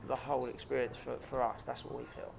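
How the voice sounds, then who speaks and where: Gravelly New chef, earnest. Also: male voice, medium pitch, level tone, fairly quick, slight background noise. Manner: earnest, frequent ums and repeated words. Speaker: chef and restaurant owner. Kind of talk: interview answers.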